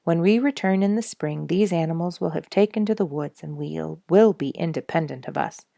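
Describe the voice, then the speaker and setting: A resolute storybook naturalist who wants some rest speaks in firm, emphatic declarations, read by a woman. The voice is creaky and close, with very little room sound. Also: deep voice, normal speed, mellow, dry recording, lo-fi sound. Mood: relieved. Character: weary, resolute male naturalist wanting rest.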